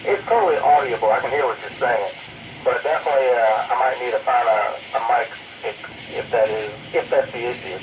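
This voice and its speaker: A man's voice: medium pitch, brisk. A man chatting casually and upbeat as a ham operator, heard through a two-way radio. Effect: two-way radio transmission. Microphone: radio transmission recorded off the speaker. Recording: noisy radio speaker picked up by phone.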